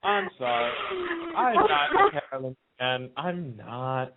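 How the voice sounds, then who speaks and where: A man's voice: mid-range voice, huge pitch swings, slow, very noisy. A man chatting casually on a radio panel, opinionated and emphatic, repeating himself to make a point. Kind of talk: casual chat about TV soaps. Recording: muffled lo-fi phone-line radio sound.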